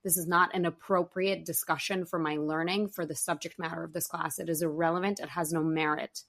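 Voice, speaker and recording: A young adult woman hosting a podcast interview, asking articulate, measured questions. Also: lowish voice, little pitch movement, vocal fry, a bit bright. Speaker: podcast host.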